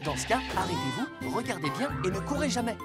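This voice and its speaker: A woman's voice: mid-range voice, quick pace, over loud music, natural voice. Woman voicing a kind rescue car teaching safety. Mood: patient. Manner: calm, instructive. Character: friendly rescue police car, patient teacher.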